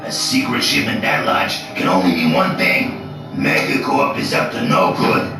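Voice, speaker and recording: A man's voice: deep voice, expressive, moderate pace. An actor playing a scheming, self-important male character in a cartoonish video game cutscene. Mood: calculating, indignant. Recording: echoey, distant game audio with music.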